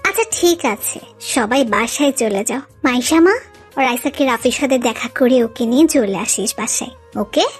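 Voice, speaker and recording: Woman acting a high-voiced concerned aunt. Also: normal speed, slightly rough. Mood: coaxing. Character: concerned, nosy middle-aged aunt. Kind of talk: dramatised love story narration. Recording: music behind the voice.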